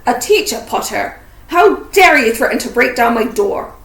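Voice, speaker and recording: A woman voicing a huge, grumpy man with gruff, rustic speech in a storybook reading. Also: fairly high pitch, a little slow, recorded from a distance. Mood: roaring, angry. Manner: gruff, loud, rustic dialect wording. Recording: roomy echo, faint background noise.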